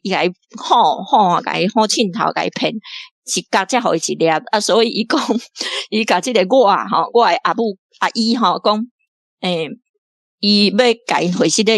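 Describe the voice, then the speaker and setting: A woman from Taiwan, a guest reader, talking through a radio drama in a lively way, often laughing and restarting her phrases. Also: mid-range voice, dry recording, studio quality. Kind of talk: casual on-air discussion.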